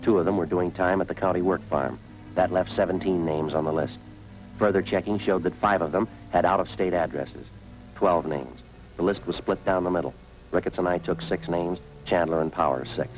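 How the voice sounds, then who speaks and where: An actor with an American accent playing a stoic, dogged police detective, terse and deadpan, in the style of fifties radio drama. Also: male voice, low voice, normal speed, soft background music, natural voice, rough recording. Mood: grim, matter-of-fact. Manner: terse, deadpan, matter-of-fact. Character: stoic, dogged male police detective, quietly worried for partner. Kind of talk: 1950s radio police drama, clipped dialogue and scripted narration.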